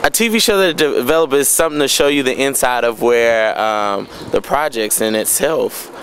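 A young male student speaks into a mic held out to him on an outdoor campus plaza. His voice sits at a middling pitch, and he talks thoughtfully, pausing to find his words. Faint outdoor noise runs underneath. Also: a bit bright. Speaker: student interviewee. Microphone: handheld mic held out by host.

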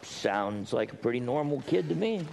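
A man's voice: mid-range voice, very animated, moderate pace, creaky, a little mellow. A man acting an exasperated, overworked friend with a sarcastic, emphatic way of talking in a comic drama, in a reverberant hall. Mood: wry, wary. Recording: reverberant hall with background hiss.

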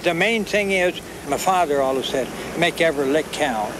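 A man's voice: a little high, expressive, normal speed, background noise. An elderly man, reminiscing casually in a folksy, repetitive way. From Kansas. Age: 70s. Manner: folksy, repeats himself. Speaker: farmer. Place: outdoors, near road or machinery.

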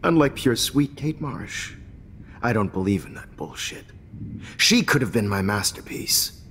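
An American man acting a smug, menacing, pretentious photography teacher turned captor in a video game, speaking with deliberate dramatic pauses. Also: on the low side, sing-song, a bit bright, full character voice. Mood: taunting. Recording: long echoey reverb, faint hiss.

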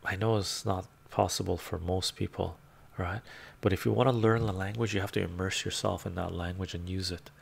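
A man's voice: low voice, steady tone, brisk, creaky, breathy edge. A middle-aged man whose first language is Romanian, chatting casually on a live stream from a room lined with bookshelves, with a rambling manner and frequent "right?" asides, speaking into a lapel mic. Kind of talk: casual live-stream chat, reading comments. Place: home room full of bookshelves.

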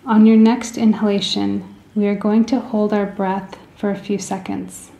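Woman doing guided meditation, soothing n gentle, bit of creaky fry, soft mellow tone, roomy echo. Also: mid-range voice, little pitch movement. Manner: soothing, gentle, deliberate phrasing with pauses.

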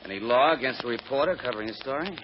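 An actor playing a nosy male columnist, breezy, pushy and quick to wisecrack. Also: mid-range voice, close to an everyday voice. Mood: breezy, cocky. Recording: muffled, noisy vintage drama recording.

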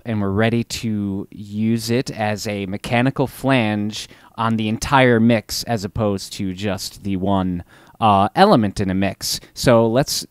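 A man's voice: fairly low pitch, smooth. A young man giving a casual, conversational tutorial in a laid-back way, recorded in a small home studio. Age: young adult. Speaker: musician hosting a recording tutorial. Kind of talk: casual instructional talk to camera.

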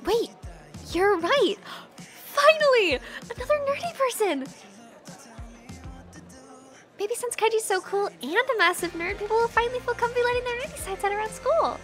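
A woman playing a nervous, nerdy teenage schoolgirl with a crush in an animated roleplay series. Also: very high-pitched, moderate pace, faint music behind. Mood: excited, hopeful.

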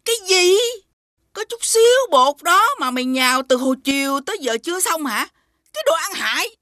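An actress playing a bossy, sly woman food seller in an animated folk tale, with a Southern Vietnamese accent. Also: high-pitched, brisk, no room sound, clean studio sound, exaggerated voice. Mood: furious scolding. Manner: scolding, switches to coaxing.

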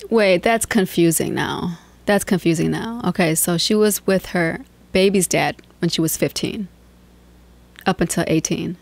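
A woman's voice: on the low side, unhurried, creaky, dry recording. A woman hosting a reaction video, blunt and chatty, giving her opinions plainly. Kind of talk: casual reaction commentary.

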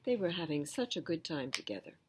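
An older woman, around her seventies, reading a children's storybook aloud in an expressive, deliberate manner. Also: low-pitched, slight background noise. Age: older, 70s. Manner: slow, deliberate, animated reading. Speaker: children's storytime reader at a library. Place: indoors in front of full bookshelves.

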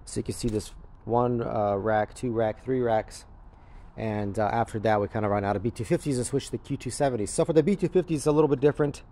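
A man's voice: mid-range voice, fast talker, warm tone. Man giving a casual walkthrough. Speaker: crypto mining farm operator giving a walkthrough. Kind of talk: casual unscripted walkthrough narration. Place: large indoor warehouse with concrete floor. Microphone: handheld camera or phone held by the speaker.

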